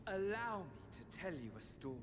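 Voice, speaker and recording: An actor playing a theatrical, mocking villain in a video game cutscene, flamboyant and sneering, sometimes dropping to a whisper. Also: male voice, sits high, big pitch swings, a little fast, noisy background.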